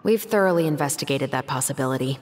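A woman voicing a composed, serious young police investigator in a video game, speaking in a measured, articulate, matter-of-fact way. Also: very deep voice, slight background noise. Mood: serious, deliberating. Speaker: game character voice actor. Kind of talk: scripted video game dialogue.

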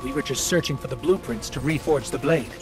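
An actor voicing a small, helpful robot companion in a video game, earnest and plain-spoken. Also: male voice, medium pitch, brisk, natural voice. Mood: earnest, concerned. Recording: game audio under loud music.

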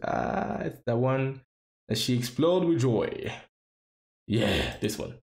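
A man in his thirties giving casual, expressive reaction commentary, repeating phrases for emphasis. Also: mid-range voice, slow, creaky. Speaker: reaction video creator. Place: small indoor home room. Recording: roomy sound with music behind. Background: game trailer music.

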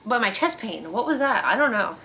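A young woman vlogging at home, hoarse and congested from illness, talking about it in a plain, tired way. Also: fairly high pitch, big pitch swings, fairly quick, pushed and bright, a little hiss or hum. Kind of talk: casual chat. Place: living room at home.

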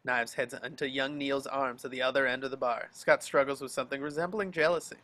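Man, medium pitch, bright and forceful, scripted scene narration, amateur table read. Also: fairly even intonation, brisk. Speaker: stage-direction reader. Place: home, group video call.

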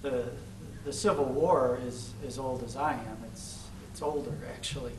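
A man's voice: medium pitch, fairly even intonation, moderate pace, noisy background. A man in his forties with a Chicago accent, giving an informal lecture and taking questions, talking in a loose, conversational way full of "you know". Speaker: guest lecturer. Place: large lecture room, indoors. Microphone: far camera, podium mic. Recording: roomy hall from far off.

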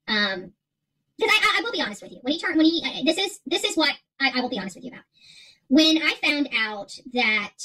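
A woman's voice: on the high side, a bit bright, husky, low-quality recording. A middle-aged woman chatting casually to livestream viewers as host, emphatic, repeating herself and rambling. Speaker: livestream creator and channel host. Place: small furnished room at home, indoors.

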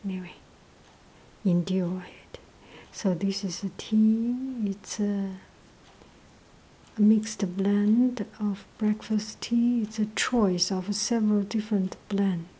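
Woman vlogger, breathy half-whisper, low energy, slow, flat delivery. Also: rough recording. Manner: hesitant, many pauses and fillers. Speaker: tea vlogger. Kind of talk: casual show-and-tell narration. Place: indoors at a table, off camera.

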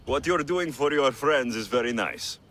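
A man playing a big, kindly adventurer in a video game, expressive and gently teasing. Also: mid-range voice, moderate pace, lightly acted. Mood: amused, kindly. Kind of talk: video game character dialogue.

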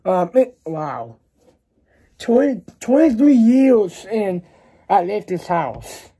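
A man in his early twenties chatting casually to a vlog audience in a halting, rambling way, often repeating words and short phrases. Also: high voice, very animated, dark tone, husky. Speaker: vlogger. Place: small laundry room beside a kitchen at home, indoors. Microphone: phone at arm's length.

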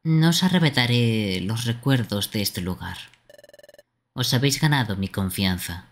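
A man's voice: mid-range voice, expressive, measured pace. Man doing a solemn guardian creature. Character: solemn mystical guardian creature of a secret lake. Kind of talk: live amateur game dubbing.

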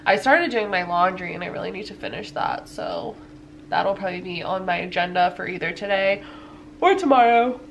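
A woman's voice: fairly low pitch, sing-song, moderate pace, gravelly, slight background noise. Chatty stuffy-sounding young woman vlogging. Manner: chatty, filler-heavy, laughs often. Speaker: lifestyle vlogger. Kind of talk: casual chat to camera. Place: living room at home.

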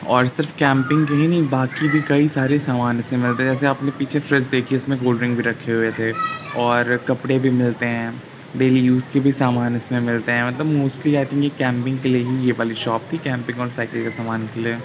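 A young man narrates a casual vlog in an informal, chatty way. His voice sits at an average pitch, with faint noisy ambience behind it. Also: level tone, fairly quick. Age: 20s. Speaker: vlogger.

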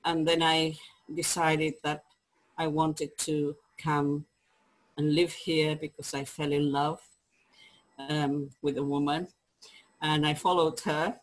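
A woman's voice: low voice, little pitch movement, slow pace, pauses often, rough recording. A woman in her fifties with a Chilean Spanish accent, a publisher telling her story in an interview over a video call, hesitant with many ums and restarts. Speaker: guest, publisher. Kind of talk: conversational interview. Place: home study with bookshelves.

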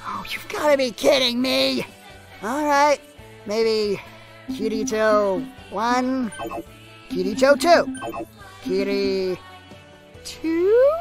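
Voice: medium pitch, animated, drawn-out words, pauses often, faint music behind. An actor voicing a sardonic, exasperated teenage gamer boy in an anime parody dub, sarcastic and melodramatic.

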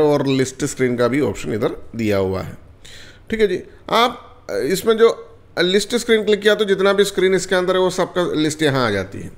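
A man talks viewers through a software setup in a relaxed, repetitive tutorial manner. His voice sits a little higher than usual. He keeps checking in with the audience as he clicks through each step. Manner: repetitive, addresses viewers as friends, many fillers. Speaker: software demonstrator. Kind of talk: screen-recorded software tutorial.

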